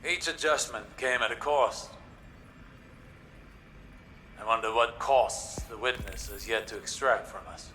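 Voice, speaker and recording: An actor playing an earnest, scholarly older male warlock in a video game, speaking in a deliberate, philosophical way. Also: mid-range voice, bright, forceful tone, faint music behind, close to an everyday voice, poor audio. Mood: musing. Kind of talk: dramatic video game dialogue.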